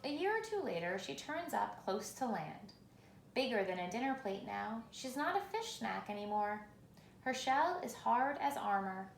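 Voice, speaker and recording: A woman reading a children's picture book aloud in a measured, expressive storytelling manner, with pauses for effect. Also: a little high, gravelly. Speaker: reader. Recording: roomy echo, faint background noise.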